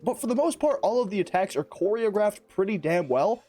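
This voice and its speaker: Hoarse, excitable young gamer man. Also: sits high, soft background music. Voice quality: raspy. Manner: excitable, slangy, fast-talking, rambling. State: hoarse from lost voice. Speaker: gaming YouTuber. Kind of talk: energetic gameplay commentary.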